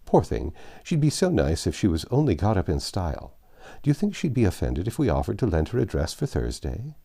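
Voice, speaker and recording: Man reading knowing, gossipy society woman, low-voiced. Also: huge pitch swings, brisk, gravelly, dark tone, heavily acted. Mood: knowing gossip. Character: gossiping society women in a nineteenth-century storybook.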